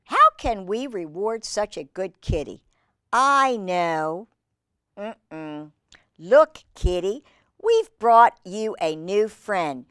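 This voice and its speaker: Woman, children's storytime host, expressive read-aloud, stretched key words, chatty asides, medium pitch, dry close sound. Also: clear, resonant tone, no echo. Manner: expressive, drawn-out emphasis on read words, conversational asides to young listeners. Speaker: host reading picture books to children.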